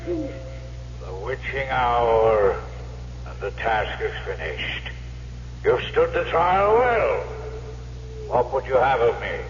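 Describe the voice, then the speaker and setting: An actor playing a sly, menacing devil who makes slow, taunting, theatrical pronouncements in an old radio horror play. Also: male voice, mid-range voice, very animated, muffled recording. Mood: sly, mocking. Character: sly, menacing one-legged devil of the midnight crossroads. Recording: echoing, noisy old radio recording with hum.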